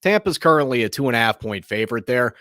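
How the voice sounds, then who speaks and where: A man hosting a sports show, giving casual, opinionated commentary in a slightly hoarse, raspy voice, rambling and often restarting his sentences. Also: medium pitch, animated, quick pace, bright, forceful tone, no echo, clean studio sound.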